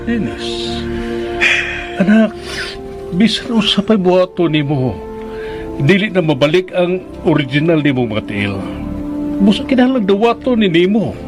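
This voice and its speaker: A patient, firm father in a radio drama. He reasons earnestly in a slightly high voice. Music is clearly heard behind him. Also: big pitch swings, normal speed, pauses often. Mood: earnest, pleading. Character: patient, firm father counselling his son.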